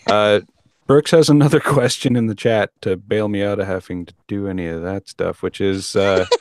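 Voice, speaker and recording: A man's voice: on the low side, steady tone, moderate pace, a little mellow, no room sound. A man hosting a podcast in a casual, drily humorous and wordy way. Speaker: guest host.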